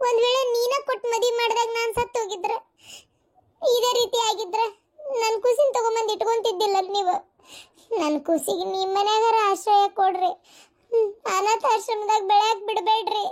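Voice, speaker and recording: A woman voicing the grieving, restless ghost of a young mother in a melodramatic cartoon story, lamenting with a North Karnataka accent. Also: extremely high pitch. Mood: pleading, despairing. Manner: plaintive, lamenting.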